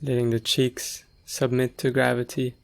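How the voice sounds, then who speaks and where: Slow, creaky man guiding meditation. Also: medium pitch, little pitch movement. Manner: gentle, unhurried, with long pauses. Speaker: meditation teacher. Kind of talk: guided meditation.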